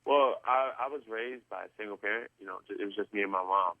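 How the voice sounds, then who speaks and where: A young man from Texas, speaking in an earnest, rambling way, full of restarts. His voice sits fairly low and comes through a tinny, narrow phone line. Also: quick pace, no echo. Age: 20s. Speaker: guest drummer. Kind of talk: casual interview chat.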